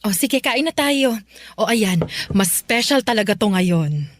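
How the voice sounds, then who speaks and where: A woman playing an excitable, doting middle-aged mother in a Filipino radio drama. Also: medium pitch, a little slow, no room sound, close to an everyday voice. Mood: excited.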